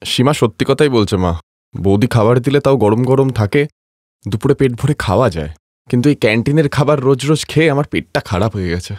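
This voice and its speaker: Man voicing a fast, grumbling younger brother-in-law. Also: medium pitch, expressive, a little mellow, no room sound, studio quality, close to an everyday voice. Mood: complaining. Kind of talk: Bengali moral-story cartoon voice acting.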